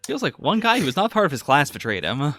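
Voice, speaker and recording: A man's voice: a little high, huge pitch swings, quick pace, fairly bright tone, faint music behind. A man giving casual, analytical anime reaction commentary as a podcast co-host, musing as he talks.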